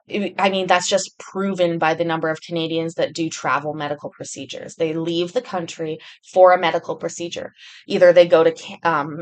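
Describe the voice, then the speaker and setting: A woman from Britain hosting a live stream in casual, rambling chat, reading and answering viewer comments with lots of ums and restarts. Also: lowish voice.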